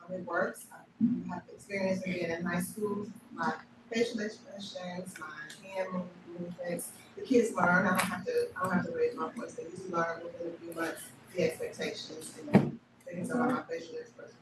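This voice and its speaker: Soft-spoken woman in a noisy echoey room. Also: lowish voice, fairly even intonation, normal speed. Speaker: assistant principal. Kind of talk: self-introduction at board meeting. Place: meeting room. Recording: echoey room, distant mic, loud noise.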